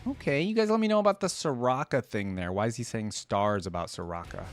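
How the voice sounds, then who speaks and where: A man's voice: medium pitch, fairly quick, soft background music. A man hosting a reaction video, commenting casually in slangy, amazed fan talk.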